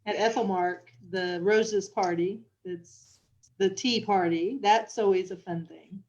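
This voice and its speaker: A woman's voice: medium pitch, steady tone, slow, a little mellow, lo-fi sound. A woman chatting casually as an interview guest, hesitant with many restarts. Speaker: visiting queen, interview guest. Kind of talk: casual chat.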